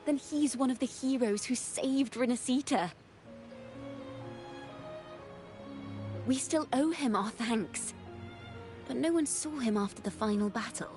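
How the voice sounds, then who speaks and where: An actress voicing a poised, knowing young woman adventurer who recounts events in measured, encouraging video game dialogue. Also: fairly high pitch, a little mellow, lightly acted. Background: game music.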